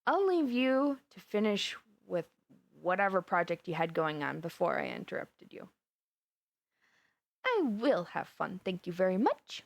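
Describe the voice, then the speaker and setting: A woman's voice: lowish voice, very animated, a little slow, vocal fry, no room sound, full character voice. Dry, close, dramatic lovestruck supervillainess voiced by a woman. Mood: playfully huffy. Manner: chatty, dramatic, playfully indignant, with sighs and gasps. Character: flustered, lovestruck supervillainess confiding in her best friend. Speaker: voice actor. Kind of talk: roleplay audio drama, intimate one-sided conversation.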